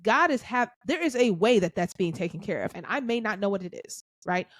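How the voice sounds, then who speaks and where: A woman's voice: medium pitch, animated, fairly quick, dry recording. Woman podcast host, dry close sound. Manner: thinks aloud with restarts, affirming "that's right", "amen". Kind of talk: reflective faith-centered casual chat.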